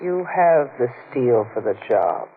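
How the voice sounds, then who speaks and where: Slow, mysterious femme fatale, old radio. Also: female voice, rumbling low, big pitch swings, exaggerated voice. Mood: cool, mocking. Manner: slow, deliberate, theatrical. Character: mysterious vengeful young femme fatale, coolly manipulative. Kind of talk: 1940s radio drama acting. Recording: tinny, noisy 1940s radio recording.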